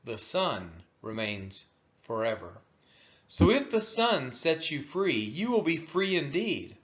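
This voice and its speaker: Slow, expressive man reading scripture aloud. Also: a little high, muffled recording. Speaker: scripture reader. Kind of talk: solemn scripture read-aloud.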